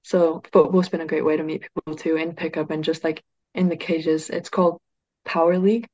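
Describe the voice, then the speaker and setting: A woman's voice: low voice, brisk, dull audio. A teenage girl from Northern England, a youth football player, chatting casually in an interview, often saying "like". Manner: chatty, peppered with "like", excited.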